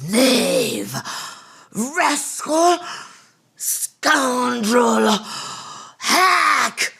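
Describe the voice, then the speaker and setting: A pompous aristocratic duellist of radio theatre. The high voice is husky and swoops extravagantly, dragging out each sneer with blustering indignation. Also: female voice, sing-song, very slow, pushed and bright, full character voice. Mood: outraged, challenging. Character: haughty, blustering fashion-obsessed aristocrat duellist.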